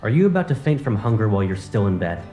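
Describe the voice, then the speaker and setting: A man's voice: lowish voice, sing-song, quick pace, music in the background. An actor playing a playful, caring young man who teases and cajoles, in a fan dub of a romance game. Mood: coaxing. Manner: teasing, lightly cajoling.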